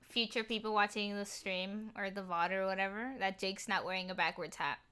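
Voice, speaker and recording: A woman's voice: medium pitch, steady tone, brisk, pushed and bright, clean tone. A young woman chatting casually as a co-host in a live-streamed fan discussion.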